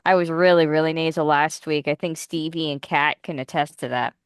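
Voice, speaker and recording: A woman hosting a casual podcast chat, a little nasal from a head cold, chatty and quick to correct herself. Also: deep voice, fairly even intonation, smooth, no echo, clean studio sound. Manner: chatty, giggly, self-correcting. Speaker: podcast host.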